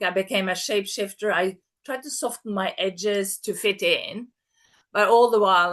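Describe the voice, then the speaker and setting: A woman's voice: mid-range voice, fairly bright tone. A woman, presenting an online workshop over a video call in an upbeat, chatty way. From Norway. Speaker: masterclass presenter.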